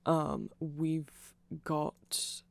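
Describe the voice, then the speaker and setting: Casting: ASMR voice, androgynous mid pitch, gravelly fry, very dry sound, casual and digressive nerd. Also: steady tone, drawn-out words, dry recording. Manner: nerdy, self-deprecating, digressive. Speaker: ASMR creator explaining band lore. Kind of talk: casual rambling ASMR explainer.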